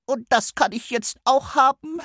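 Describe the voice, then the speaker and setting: A man performs an old, half-blind female rat in a children's audiobook, timid but cheeky. The voice squeaks high and unhurried. Dry, close recording. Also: pushed and bright, dry recording, heavily acted. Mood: pleading.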